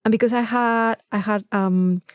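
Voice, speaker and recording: A woman's voice: a little high, steady tone, moderate pace, smooth, no room sound, clean studio sound. Effusive Mexican woman chatting, closely recorded. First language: Spanish. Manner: effusive, emphatic repetition. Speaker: podcast co-host. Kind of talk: casual chat, anecdotes.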